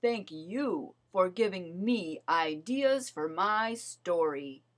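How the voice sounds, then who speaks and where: A woman voicing a kind, thoughtful male bear from a storybook in an unhurried, easygoing manner. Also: lowish voice, expressive. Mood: calm, friendly.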